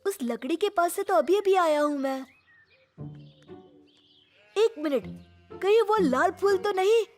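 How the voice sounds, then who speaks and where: A woman's voice: very high voice, lively intonation, a little slow. A woman playing a neat, polite, naive little boy in a dubbed children's cartoon. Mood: lost, puzzled. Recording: faint music behind the voice.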